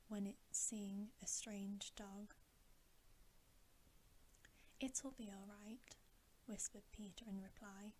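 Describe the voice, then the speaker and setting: A woman reads a bedtime story in a breathy ASMR whisper. Her delivery is slow and gentle, with little movement in pitch. The tone is soft and mellow, over a faint bed of noise. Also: poor audio. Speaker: ASMR reader.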